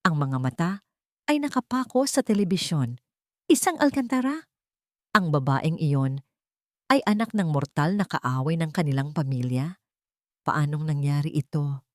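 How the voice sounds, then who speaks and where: A woman narrating a dramatic love story in an expressive storytelling manner. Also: deep voice, normal speed, pauses often, dry recording, clean studio sound.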